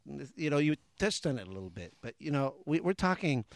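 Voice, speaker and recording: Guy hosting a casual radio type interview, voice on the higher side, dry sound. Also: very animated, a little fast, creaky, dry recording. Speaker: talk show host.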